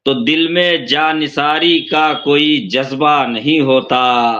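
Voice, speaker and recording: A man reads his couplets slowly and repeats every line, stumbling and restarting now and then. His voice is bright and high for a man, and the recording sounds dull and muffled. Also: fairly even intonation. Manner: repeats each line of verse, halting restarts. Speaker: poet. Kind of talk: reciting couplets.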